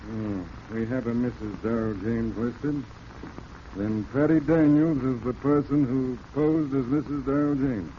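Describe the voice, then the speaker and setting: An actor playing a shrewd, sardonic police detective who probes calmly and wryly, in 1940s radio drama style. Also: male voice, medium pitch, a little slow, close to an everyday voice. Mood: wry, assured. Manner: wry, leading questions. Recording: muffled, hissy old radio recording.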